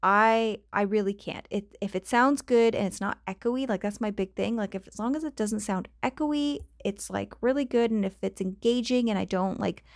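A woman's voice: mid-range voice, moderate pace, few pauses, no room sound, clean studio sound. Chatty Canadian woman podcaster. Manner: chatty, rambling, laughs often, goes off on tangents. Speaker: podcast host. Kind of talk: casual solo podcast chat. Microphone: cheap home microphone.

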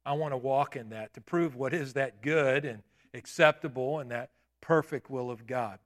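A man in his late fifties preaching a sermon in a church, with emphatic declarations and quieter asides. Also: mid-range voice, moderate pace. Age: 50s. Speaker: pastor. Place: church sanctuary.

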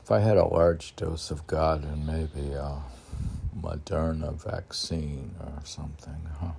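A man with an extremely low, gravelly voice gives an informal poetry reading. He takes his time and wanders into halting asides, musing aloud and putting questions to himself. There is faint noise behind him. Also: fairly even intonation, dark tone. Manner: meandering, halting, muses aloud with rhetorical questions. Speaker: poet reading own poems.

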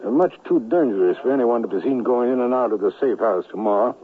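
A man's voice: medium pitch, lively intonation, phone-quality sound, a little hiss or hum, natural voice. Man acting a commanding, menacing radio-drama ringleader. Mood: brisk command. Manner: authoritative, deliberate, smoothly threatening. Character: commanding, menacing male ringleader of secessionist plotters. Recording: band-limited radio broadcast, music behind.

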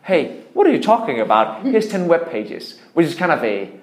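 A man's voice: a little high, sing-song, normal speed. A man with a Danish accent explaining things in an interview as a startup founder, emphatically and through examples. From Denmark. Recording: echoey room.

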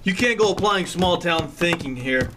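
An actor playing a jaded, authoritative male city detective in an audio drama, brisk and brusque. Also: high voice, lively intonation, background noise. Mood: jaded, businesslike.

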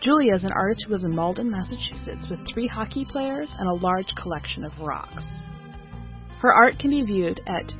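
A woman's voice: mid-range voice, animated, normal speed, phone-quality sound, faint music behind. A woman hosting a podcast, reading scripted introductions and short biographies. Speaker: podcast host and editor introducing poetry.

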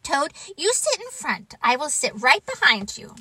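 Woman playing a gentle, high-voiced storybook frog. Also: very animated, unhurried, exaggerated voice. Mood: encouraging. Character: friendly, reassuring, eager small male frog character. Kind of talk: children's storybook character voice.

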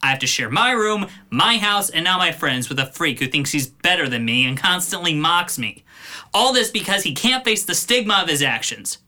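A man's voice: fairly high pitch, expressive, moderate pace, sharp tone. A man playing a resentful, sarcastic young son in an audio drama, sullen and grudging in manner. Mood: grudging, bitter.

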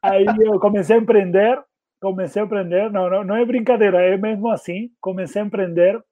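A man's voice: sits high, steady tone, fast, no room sound. Argentine-accented man, muffled phone-like sound. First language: Spanish. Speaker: podcast guest, community marketing specialist. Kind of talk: casual interview talk.

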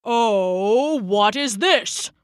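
Woman voicing stern, slow old father god. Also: medium pitch, animated, smooth, no room sound, studio quality. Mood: shocked. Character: grave, commanding old father of the gods.

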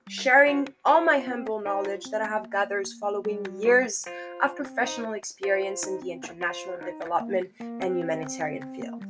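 A woman from Morocco hosting a solo podcast, giving upbeat, motivational career advice in short, emphatic phrases. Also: mid-range voice. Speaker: podcast host and career coach.

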